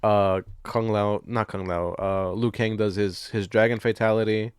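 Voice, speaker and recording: A man's voice: on the low side, flat delivery, moderate pace, clean tone, no echo. Young male podcast co-host, dry sound, slangy, sweary, loose conversational rambling. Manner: rambling, slangy, profane, jokey. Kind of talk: casual movie discussion chat.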